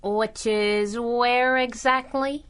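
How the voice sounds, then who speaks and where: An actress playing a plucky, loyal young sidekick with a wry, spirited manner in a 1930s-style superhero radio drama. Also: female voice, on the high side, steady tone, extremely slow, a bit bright, smooth.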